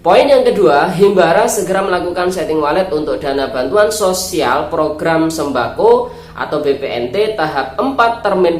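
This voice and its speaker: An Indonesian YouTube host speaks with a nasal, flu-congested voice in a roomy, echoing space. The pitch sits in the middle, and the delivery is explanatory and informational, addressed directly to the viewers. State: stuffed-up nose from flu. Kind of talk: informational vlog narration, reading out an official letter. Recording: roomy echo on the voice.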